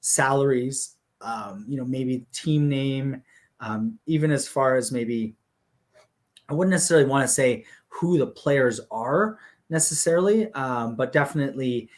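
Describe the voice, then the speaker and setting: A man talks through a plan in a loose conversational way. He leans on filler words and keeps an even, explanatory flow. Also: medium pitch, measured pace. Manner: steady, explanatory, many fillers like "you know" and "um". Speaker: guest explaining a hockey league business model.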